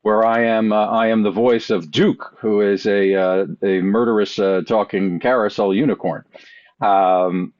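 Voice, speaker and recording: A man's voice: lowish voice, fairly even intonation, a little slow, darkish tone. A man chatting casually as a podcast guest, recorded with slightly muffled sound. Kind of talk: casual chat.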